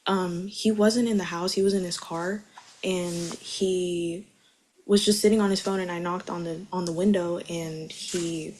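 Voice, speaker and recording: A woman's voice: lowish voice, steady tone, measured pace, darkish tone, slight background noise, low-quality recording. A young woman giving testimony as a witness in a courtroom, speaking in casual, informal wording.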